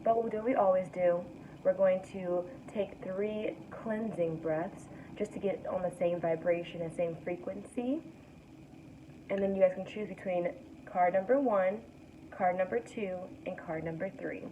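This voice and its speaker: A young woman with a raspy morning voice, giving a relaxed, soothing tarot card reading to camera as the host. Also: fairly low pitch, moderate pace. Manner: relaxed, soothing, guides breathing. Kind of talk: casual spiritual reading to camera. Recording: tinny distant mic with faint noise.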